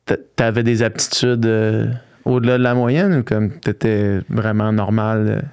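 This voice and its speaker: A man's voice: fairly low pitch, level tone, measured pace, a little mellow, smooth. A man with a Québécois French accent hosting a casual podcast interview, laid-back and laughing easily. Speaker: podcast host.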